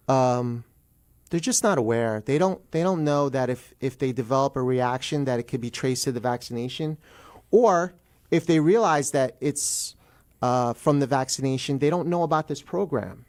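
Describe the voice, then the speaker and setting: A man's voice: on the high side, expressive, normal speed, darkish tone. A middle-aged man speaking as a guest attorney in a radio interview, explaining procedure in an emphatic way.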